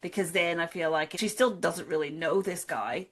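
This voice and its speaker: A woman's voice: on the low side, normal speed, fairly bright tone. A woman co-hosting a casual book chat, talking in a wry, jokey way. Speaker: book club podcast co-host.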